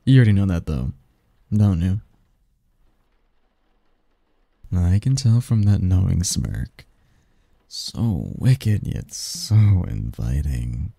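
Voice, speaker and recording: A man voicing a seductive, doting sleep demon lover in an intimate ASMR roleplay, breathy and coaxing, close to the mic. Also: deep voice, sing-song, warm tone, no room sound, heavily acted. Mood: teasing, affectionate. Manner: slow, coaxing, teasing, murmured. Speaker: roleplay audio performer. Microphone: close, dry microphone.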